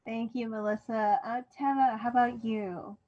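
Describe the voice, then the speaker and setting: A woman's voice: fairly high pitch, steady tone, moderate pace. A woman moderating a panel, friendly as she hands off to each speaker. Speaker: dramaturg, moderator. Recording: dull lo-fi sound.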